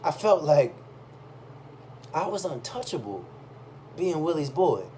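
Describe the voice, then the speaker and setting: A man reading a first-person story aloud in a conversational, expressive way. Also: a little high, slow pace. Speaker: reader of a personal chronicle. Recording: clearly audible background noise.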